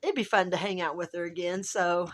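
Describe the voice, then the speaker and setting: A woman with a hoarse, gravelly voice that is still recovering, chatting casually and rambling to camera at home as a travel vlogger. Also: fairly low pitch, steady tone, brisk, a bit bright. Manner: rambling, chatty, self-interrupting. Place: at home, indoors.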